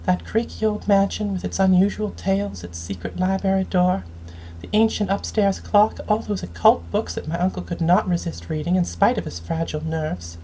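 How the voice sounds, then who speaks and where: A woman reading a scripted first-person story aloud in a measured, solemn, dramatic way. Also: medium pitch, fairly even intonation, warm tone, a little hiss or hum, rough recording. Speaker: game streamer reading aloud.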